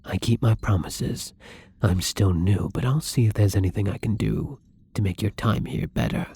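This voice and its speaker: A man playing a newly turned, gentle and hesitant vampire in an intimate ASMR roleplay, speaking softly and haltingly with frequent whispers. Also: fairly low pitch, dry recording, full character voice. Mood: caring, apologetic. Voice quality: breathy, husky. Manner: soft, halting, often dropping into whispers.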